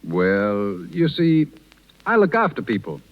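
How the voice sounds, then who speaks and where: An actor playing a kindly, steadfast young small-town doctor, earnest and reassuring, in 1930s radio style. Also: male voice, mid-range voice, huge pitch swings, slow pace, exaggerated voice. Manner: reassuring, gently amused, earnest. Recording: dull, lo-fi 1930s radio recording.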